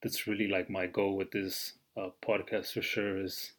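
A man's voice: sits low, little pitch movement, moderate pace. A man in his twenties hosting his own podcast, talking casually and rambling with lots of fillers and some swearing. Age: 20s. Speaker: podcast host. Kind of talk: casual solo podcast monologue.

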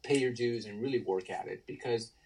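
A man in his thirties with a baritone voice, telling stories with enthusiasm and lots of restarts in a casual podcast interview. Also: a little fast, darkish tone, slightly rough, poor audio. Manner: enthusiastic storyteller, many restarts. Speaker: guest, tribute band singer.

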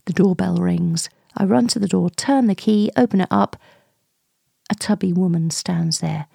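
A woman in her forties narrating a first-person radio play in short, hushed, confiding phrases with a breathy voice. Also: lowish voice, moderate pace, dry recording, studio quality. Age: 40s. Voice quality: breathy, husky. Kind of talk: intimate first-person dramatic monologue, present tense.

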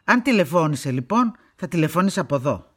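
A stern older woman who runs a farm, low-voiced for a woman. She answers in a curt, dismissive way, guarded and plain, in naturalistic radio-play style. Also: very animated, breathy edge, full character voice. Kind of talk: naturalistic radio drama acting.

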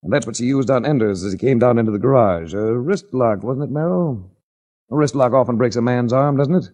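A man's voice: mid-range voice, a little fast, a little mellow, lo-fi sound, close to an everyday voice. An actor playing a tough, wry investigator hero in 1940s radio drama, dry and clipped as he narrates and talks. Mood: cool, confronting. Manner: dry, clipped, hard-boiled. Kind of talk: 1940s radio detective drama, hard-boiled narration and dialogue.

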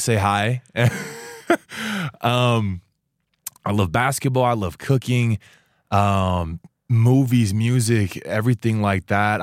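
A young man in his early twenties from Taiwan talks easily as a guest in a radio studio. His voice sits on the low side and sounds dry and close. He rambles in a friendly way, with many "um"s and restarts. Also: no room sound. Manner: relaxed, chatty, many fillers and restarts. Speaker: student guest. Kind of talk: casual interview chat.

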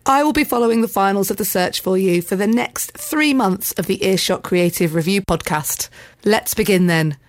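A woman's voice: mid-range voice, moderate pace, dry recording. A woman hosting and reporting on a podcast, presenting a scripted segment in an upbeat, announcer-like way.

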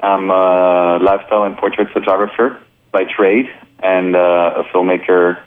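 A man's voice: low-pitched, level tone, normal speed, clear, resonant tone. A man from Colombia, a filmmaker and photographer, chatting easygoing as a radio guest with plenty of fillers. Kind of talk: casual radio interview. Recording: narrow phone-like radio sound.

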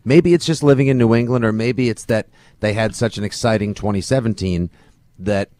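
A man's voice: fairly low pitch, brisk, darkish tone. A man co-hosting a sports podcast with casual, wry, colloquial football banter.

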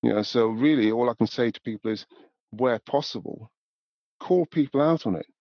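A man's voice: mid-range voice, dry recording. Older man, dry dull recording. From north of England, born in Newcastle. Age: 70s. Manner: thoughtful, measured, with repeated "you know". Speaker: podcast guest, music industry professional. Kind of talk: reflective interview conversation.

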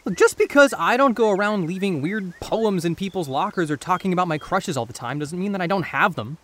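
A man's voice: high-pitched, lively intonation, a little fast, a bit bright, a little hiss or hum. A man playing a guarded, defensive but sensitive teenage boy in a naturalistic audio drama, with hesitant delivery. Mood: defensive, hurt.

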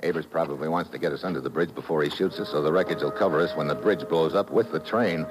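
A man's voice: extremely low pitch, fast talker, over music. A man with an American accent playing a tough, clipped special agent in a 1950s radio thriller, on an old recording. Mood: tense, terse. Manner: clipped, hard-boiled. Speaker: lead actor and first-person narrator.

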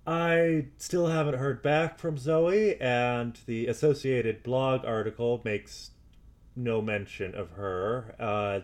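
A man playing a wary, methodical amateur investigator dictating audio logs in an audio drama, speaking deliberately and with some hesitation. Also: lowish voice. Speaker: podcast drama actor. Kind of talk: audio log monologue, audio drama.

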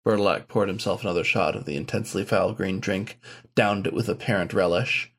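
A man's voice: sits low, a little fast, a bit bright, breathy edge. A man reading scripted fiction aloud as its author and narrator. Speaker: author reading his own story.

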